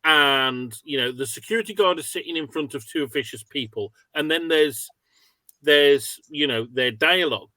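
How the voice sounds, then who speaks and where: A man's voice: fairly high pitch, normal speed, pushed and bright, dry recording. A man hosting a casual online interview, asking wordy, hesitant, self-deprecating questions. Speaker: webinar host and interviewer.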